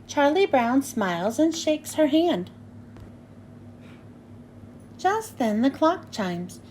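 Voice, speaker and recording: A woman's voice: high-pitched, sing-song, slow pace, a little mellow, a little hiss or hum. A woman narrating a children's storybook as a read-aloud. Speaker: storybook reader.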